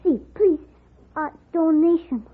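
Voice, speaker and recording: A woman's voice: very high-pitched, takes it slowly. A woman playing a small, sly Mexican boy begging in broken English with a Spanish accent, in a 1950s radio western. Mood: pleading, wheedling. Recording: muffled old radio broadcast recording.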